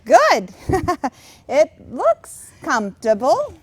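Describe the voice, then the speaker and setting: A woman's voice: high voice, huge pitch swings, very slow, noisy background, full character voice. A woman in a staged play reading, playing a simple, earnest, plain-spoken housewife and mother. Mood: shy, polite. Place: children's theatre stage, filmed staged reading.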